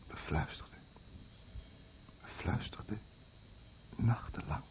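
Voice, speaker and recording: An actor playing a doomed fisherman telling of his own burial in halting, deliberate phrases, in a solemn radio drama. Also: lightly acted. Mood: weary despair. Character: doomed male fisherman slowly burying himself in his grave. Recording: muffled noisy old radio play recording.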